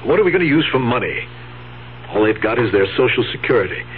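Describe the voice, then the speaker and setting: A man's voice: medium pitch, very animated, fast, a little hiss or hum, natural voice. A male actor playing a weary, practical nephew, fed up but dutiful, in a 1970s radio drama. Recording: tinny, humming 1970s radio broadcast.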